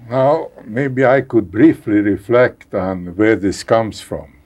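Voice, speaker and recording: Older man, Hungarian accent, deep low voice, husky tone, halting speech with repeated words, interview guest. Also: darkish tone. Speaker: guest scientist. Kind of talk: conversational interview.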